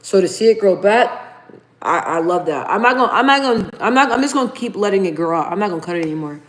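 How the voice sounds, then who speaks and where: A woman's voice: mid-range voice, lively intonation, fast. A woman in her thirties hosting a casual livestream, chatting informally with viewers and reading their comments aloud. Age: 30s. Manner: chatty and informal, addresses viewers as "you guys". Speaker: livestream host.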